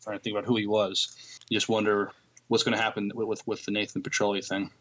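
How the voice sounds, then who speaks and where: A man's voice: lowish voice, flat delivery, fast, fairly bright tone. A man co-hosting a podcast, chatting casually about television. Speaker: podcast co-host. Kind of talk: casual chat about TV shows. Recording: clear background noise with clicks.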